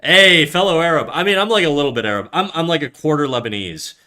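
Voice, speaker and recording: A man's voice: fairly high pitch, fast, pushed and bright. A young man from Canada casually chatting on an art livestream, rambling and swearing, with lots of fillers and restarts. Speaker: art streamer. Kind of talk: casual livestream chat reading chat aloud.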